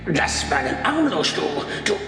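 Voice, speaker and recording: A high-pitched, halting homeless drunkard. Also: male voice, very animated, pushed and bright, exaggerated voice. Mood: frightened, defiant. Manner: halting, protesting, stammering. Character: frightened, defiant homeless drunkard, a male tramp. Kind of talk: German horror audio drama acting. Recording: very echoey hall, music behind.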